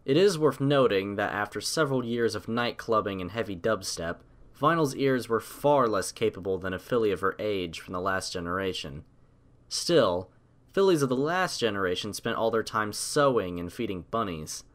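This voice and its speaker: A man's voice: fairly low pitch, lively intonation, moderate pace. A man reading a scripted story aloud as an audiobook narrator.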